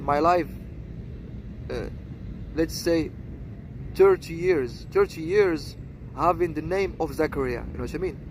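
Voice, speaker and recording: A Moroccan man in his early thirties speaks in a high-pitched voice. He rambles vlog-style in short, halting phrases, checking again and again that he is understood. Clear street-like noise runs behind him. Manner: halting short phrases with constant repeated tag questions. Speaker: seduction coach introducing own channel.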